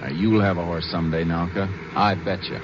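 A man's voice: sits low, steady tone, a little fast, natural voice. An actor playing a seasoned, fatherly but firm Texas Ranger, plain-spoken in the manner of a 1950s radio western. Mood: kindly. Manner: folksy, firm, questioning. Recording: muffled, noisy 1950s radio recording.

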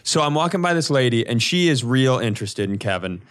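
A man co-hosting a podcast, telling comic stories in a casual, animated, sweary way and acting out quotes. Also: medium pitch, a little fast, clean tone. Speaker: podcast co-host. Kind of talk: casual comedic storytelling chat.

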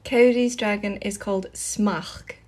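A woman who speaks Gaelic, calmly explaining how words are pronounced in a short video clip. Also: medium pitch, unhurried. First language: Scottish Gaelic speaker. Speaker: TikTok creator explaining pronunciation.

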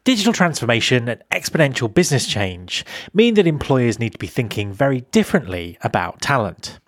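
A man's voice: medium pitch, no room sound, clean studio sound. A man hosting a podcast, introducing his guest and asking questions with some hesitation. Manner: lively, hesitant when asking. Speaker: podcast host. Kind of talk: podcast interview.

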